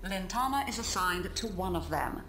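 A woman voicing a calm computerised lesson narrator in a science fiction game, measured and instructive. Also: mid-range voice, echoey room, slight background noise, close to an everyday voice. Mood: measured, formal. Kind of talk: scripted educational narration.

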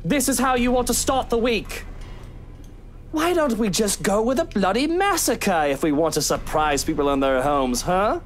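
A woman's voice: medium pitch, very animated, lightly acted. A woman voicing a smug, menacing rich man in a game, sneering and drawing out contemptuous taunts. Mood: sneering, threatening. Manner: sneering, theatrical, drawn-out taunting.

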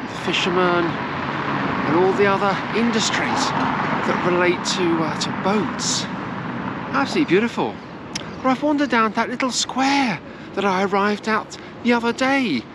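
A middle-aged woman presenting a walking-tour travel vlog to a camera held at arm's length. She talks in a chatty, meandering way with frequent hesitations. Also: lowish voice. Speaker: travel vlogger. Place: outdoors in sunny city squares and streets. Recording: outdoor street noise and traffic.

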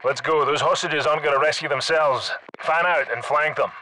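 Casting: commanding Scottish special forces soldier for a shooter game, forceful clipped delivery, faint noise behind. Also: male voice, on the high side, fairly quick, poor audio. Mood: brisk, commanding. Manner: clipped, urgent orders. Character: commanding male special forces soldier, terse, battle-hardened squad leader. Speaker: squad leader in a military shooter game. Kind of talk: video game battle chatter, military radio commands.

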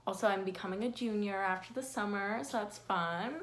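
A woman's voice: medium pitch, fairly quick. A sixteen-year-old girl chatting casually to her phone camera in a bedroom, rambling through facts about herself with lots of fillers and self-deprecating asides. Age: teens. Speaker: vlogger. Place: bedroom with window blinds, indoors. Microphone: phone camera at close range.

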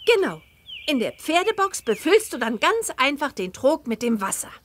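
A woman's voice: sits high, very animated, moderate pace, slight background noise. A woman voicing a helpful, chirpy teenage girl who gives instructions in a video game. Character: perky, helpful teenage girl witch with horses. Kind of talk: scripted video game tutorial dialogue.

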